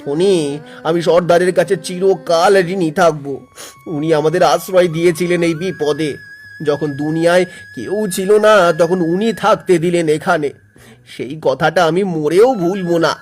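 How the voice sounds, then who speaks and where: A man's voice: fairly high pitch, normal speed, soft background music, natural voice. An actor playing a humble, grateful woodcutter and family man in a cartoon, speaking in an earnest, heartfelt way. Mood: sorrowful, grateful. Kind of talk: Bengali cartoon story dialogue.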